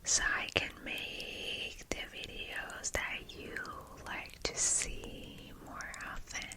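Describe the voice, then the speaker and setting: A woman whispering breathily as an ASMR host, gently guiding relaxation and repeating words and phrases. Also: slow pace.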